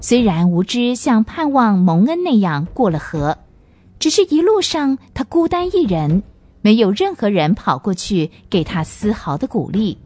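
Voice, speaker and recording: A woman's voice: medium pitch, very animated, a little slow, darkish tone. A woman narrating a radio drama as a storyteller, reading from a script.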